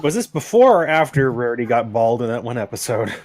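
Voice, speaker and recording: A man's voice: medium pitch, sing-song, a little fast, darkish tone. A man co-commentating on a reading in a casual, joking chat style. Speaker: co-commentator on a fan fiction reading.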